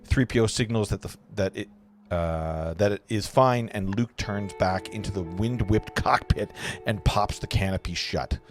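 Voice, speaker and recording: Male narrator, lowish voice, reading scene directions with occasional stumbles over faint music. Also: measured pace. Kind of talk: reading stage directions at a table read.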